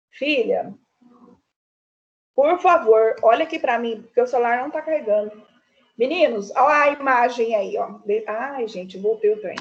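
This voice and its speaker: A Brazilian woman teaching an art class online, explaining paintings in a chatty way and often checking in with her students. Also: a little high. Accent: Brazilian Portuguese. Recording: dull lo-fi online class audio.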